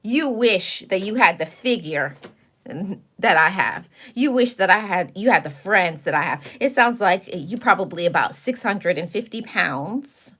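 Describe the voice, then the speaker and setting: A woman hosting a live video stream, chatting straight to her viewers. She is sassy and preachy, mixing motivational talk with blunt warnings. Her voice sits at an ordinary middle pitch for a woman. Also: expressive, a little slow, a bit bright. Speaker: livestream host. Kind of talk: casual livestream talk to chat.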